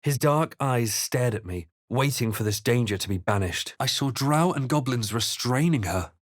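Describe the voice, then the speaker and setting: A man voicing a composed, observant, brooding adventurer in a fantasy video game, with measured, theatrical delivery. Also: mid-range voice, expressive, dry recording, clean studio sound, lightly acted. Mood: solemn, watchful. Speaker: video game player-character voice. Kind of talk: dramatic first-person narration and character barks.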